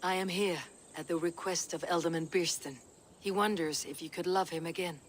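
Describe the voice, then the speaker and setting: An actress playing a steady Viking warrior woman in a video game cutscene, speaking in a measured, direct, understated way. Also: fairly low pitch, a little hiss or hum, close to an everyday voice.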